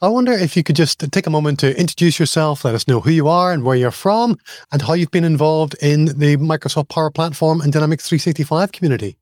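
A man's voice: on the high side, quick pace, darkish tone, no echo, studio quality. A man hosting a tech podcast, reading a friendly scripted intro and then asking conversational questions. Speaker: podcast host. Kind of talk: podcast interview.

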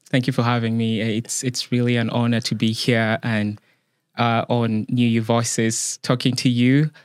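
Young man, interview guest, smooth, measured, dry close sound. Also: medium pitch, no echo. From Uganda. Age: young adult. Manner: calm and measured, frequent ums. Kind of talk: casual interview.

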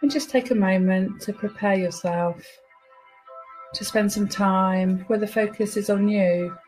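A woman's voice: mid-range voice, steady tone, a little mellow, rough recording. Soothing woman meditation guide over music. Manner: soothing, unhurried instruction with pauses. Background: music behind the voice.

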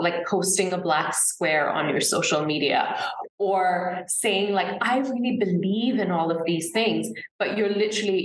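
A woman's voice: on the low side, slight background noise. Earnest woman talking in an echoey room. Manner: earnest, emphatic, many restarts and fillers. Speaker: author and consultant, guest. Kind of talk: conversational interview talk.

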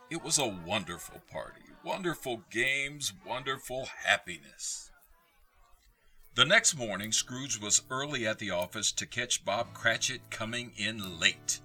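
A man narrating a radio play as a scripted story, with measured storytelling delivery. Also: medium pitch, sharp tone.

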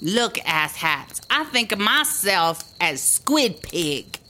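A woman's voice: mid-range voice, big pitch swings, slow pace, bright, forceful tone, a little hiss or hum, exaggerated voice. A woman playing a snarky, stubborn oddball sidekick in a comedic audio drama. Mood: irritated.

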